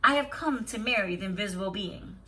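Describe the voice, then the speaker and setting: A woman storyteller voicing a courageous, kind-hearted young woman in a folktale, earnest, deliberate and wondering. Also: mid-range voice, animated, sharp tone, far from the mic. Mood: sure.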